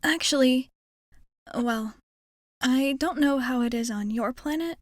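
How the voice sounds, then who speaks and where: A woman's voice: sits high, unhurried, no room sound, clean studio sound. A woman playing a shy, nervously hopeful young alien space traveler in an ASMR roleplay, rambling hesitantly and putting herself down. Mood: shy, wistful. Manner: hesitant, rambling, self-effacing. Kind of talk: ASMR roleplay, intimate character monologue.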